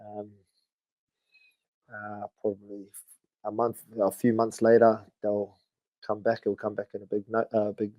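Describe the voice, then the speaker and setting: A man's voice: lowish voice, a little slow, long pauses, no room sound, muffled recording. A man in his thirties with a New Zealand accent, telling stories at an easy pace in a casual podcast chat. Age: 30s. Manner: laid-back, relaxed storytelling with frequent "bro" and fillers. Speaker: guest, rugby league player.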